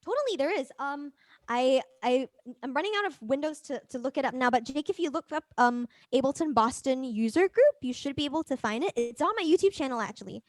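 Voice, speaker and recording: A woman with a fairly high voice leads a music software workshop. She talks informally and thinks aloud while demonstrating. The sound is dry and close, with little room in it. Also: animated, brisk, no echo. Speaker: presenter of a music production workshop.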